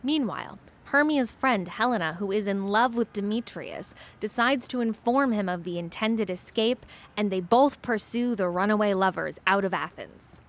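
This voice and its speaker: A woman's voice: mid-range voice, normal speed, few pauses. A woman reading scripted narration to introduce a story, as the narrator of an audio drama.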